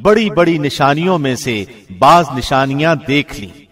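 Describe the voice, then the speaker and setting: A man reads a scripture translation in the measured, formal delivery of a radio presenter. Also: on the high side, animated, fairly bright tone. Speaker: translation reader, radio host.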